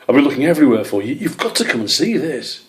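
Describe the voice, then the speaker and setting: A man's voice: medium pitch, very animated, fast talker, pitched above the performer's own voice, natural voice. Man acting Earthface, an urgent older badger father.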